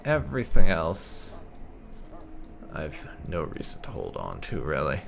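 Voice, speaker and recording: A man's voice: very deep voice, huge pitch swings, a little slow, creaky, background noise. A man hosting a gaming video, giving casual, wry commentary as he muses to himself while playing. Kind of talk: casual roleplay game commentary.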